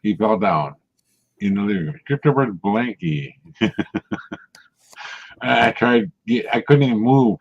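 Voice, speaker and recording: A man's voice: mid-range voice, very animated, a little slow, gravelly, pauses often, a little hiss or hum. A man in his sixties, chatting casually and telling rambling stories with frequent chuckles. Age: 60s. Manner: rambling storyteller, chuckles often. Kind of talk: casual chat. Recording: muffled lo-fi audio.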